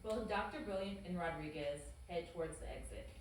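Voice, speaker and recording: A woman's voice: fairly low pitch, fairly quick, far from the mic. A woman narrating stage directions from a script at a table read. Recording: very echoey room, faint noise.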